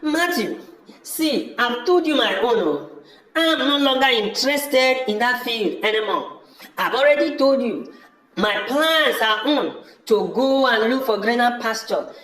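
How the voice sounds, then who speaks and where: A man's voice: very high voice, animated, a little slow, fairly bright tone, exaggerated voice. A man with a Nigerian accent playing a stubborn, fed-up village brother in an amateur audio drama, dismissive and insistently repeating himself. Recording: echoey room.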